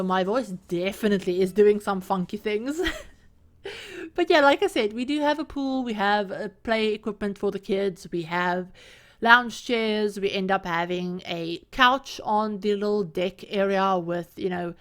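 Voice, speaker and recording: A woman's voice: medium pitch, measured pace. Chatty woman rambling through a voiceover. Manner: chatty and rambling, with frequent "like" and laughs. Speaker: video creator and host. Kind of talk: casual voiceover over gameplay. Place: home room with the door open.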